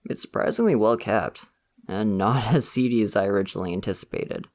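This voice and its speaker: A man's voice: lowish voice, fairly quick, close to an everyday voice. A young man voicing a friendly, slightly shy college student in an ASMR roleplay, talking casually and laughing often. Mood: easygoing chatty. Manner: casual, laughs often, soft-spoken and chatty.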